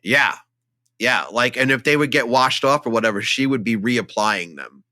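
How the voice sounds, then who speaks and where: A man's voice: medium pitch, moderate pace, sharp tone, no room sound, studio quality. A man co-hosting a casual film podcast, talking enthusiastically and emphatically in a conversational way. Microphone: studio microphone, close.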